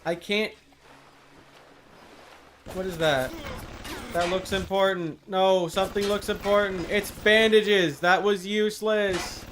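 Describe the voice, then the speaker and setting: Higher-voiced man streaming a game. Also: steady tone, takes it slowly, long pauses, noisy background. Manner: talks to self and game enemies, wry. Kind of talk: casual live gameplay commentary.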